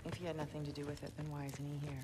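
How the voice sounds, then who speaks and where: A woman's voice: low voice, level tone, very fast, close to an everyday voice. A woman playing a guarded Norse goddess in a fantasy video game. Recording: reverberant hall, background noise.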